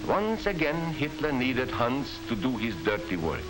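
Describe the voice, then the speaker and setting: A man's voice: medium pitch, sing-song, a little slow, rough recording. A man narrating a 1940s educational film in a solemn, emphatic storytelling manner. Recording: 1940s film narration under loud music.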